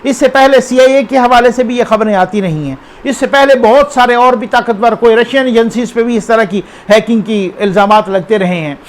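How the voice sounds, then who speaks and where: A man with a Pakistani accent and a notably high-pitched voice hosts an informal news commentary. He speaks off the cuff in a conversational way, often putting rhetorical questions to the viewer and checking that they follow. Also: moderate pace, few pauses.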